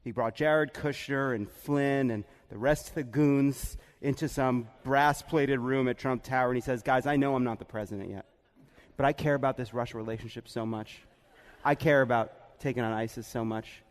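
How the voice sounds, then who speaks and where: A man's voice: medium pitch, fairly even intonation, moderate pace. Joking American man in a reverberant hall. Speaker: comic political podcast host. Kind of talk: casual comedic political banter. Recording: echoey hall with long reverb.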